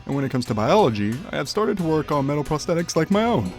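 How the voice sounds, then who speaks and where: A man playing a reserved, polite teenage blacksmith in an amateur audio drama, calm and matter-of-fact. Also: on the high side, fast talker, faint music behind.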